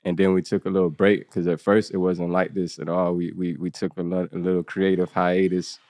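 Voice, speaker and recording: A man's voice: deep voice, little pitch movement, a little fast, darkish tone, dry recording. A man in his thirties with a Southern American accent, chatting as a podcast guest in a laid-back way, often tagging his points with "you know what I'm saying". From United States. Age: 30s. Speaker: podcast guest, event organizer. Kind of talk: casual interview talk.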